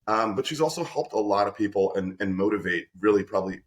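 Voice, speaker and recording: Effusive low-voiced male host. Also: fast. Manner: energetic, restarts often, effusive. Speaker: podcast host and company representative. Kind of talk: enthusiastic interview hosting.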